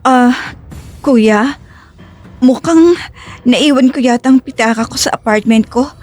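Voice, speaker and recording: A woman's voice: sits high, slow, darkish tone. A woman playing a frightened, evasive fugitive taxi passenger in an audio drama, hesitant, pleading and stammering. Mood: nervous, stalling. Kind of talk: audio drama acting in Tagalog.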